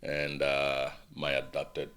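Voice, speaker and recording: Middle-aged guy from ghana with a really low voice, easygoing storyteller, keeps saying you know. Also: slow pace, smooth. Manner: relaxed storytelling with frequent "you know" and "right". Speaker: podcast guest. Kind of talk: casual life-story interview.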